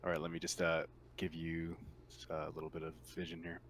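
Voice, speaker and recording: A man speaking casually and haltingly as game master of an online tabletop roleplaying session. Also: a bass voice, monotone, a bit bright, slight background noise.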